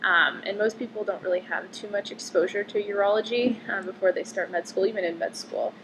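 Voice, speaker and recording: A young woman in her twenties from Connecticut answers interview questions in an easy, chatty way, and she leans on "um" and "like" as she goes. Her voice sits at a middle pitch. Clear background noise runs under the recording. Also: a little fast. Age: 20s. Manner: chatty, frequent "um" and "like" fillers. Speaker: interviewee, medical student.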